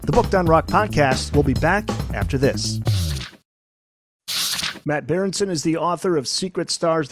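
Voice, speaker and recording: A man's voice: mid-range voice, dry recording, clean studio sound. A man hosting a casual podcast interview. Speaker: podcast host.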